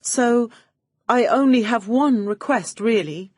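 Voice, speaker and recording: A woman playing a sly female detective in an audiobook, feigning sweet helplessness in a coaxing, drawn-out British delivery. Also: fairly high pitch, darkish tone, no room sound, clean studio sound, voice pushed up. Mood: mock tearful.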